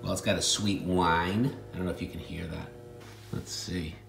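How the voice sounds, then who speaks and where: A man's voice: low voice, fairly even intonation, moderate pace, vocal fry, reverberant, music in the background. A man showing secondhand finds in casual, offhand chat with a wry, joking manner.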